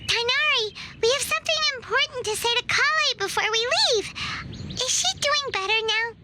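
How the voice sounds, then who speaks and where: A woman voicing a small, childlike, eager fairy companion in a video game, with a squeaky voice over music. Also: moderate pace, very noisy. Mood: curious, chatty. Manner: eager, exclamatory. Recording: loud background music.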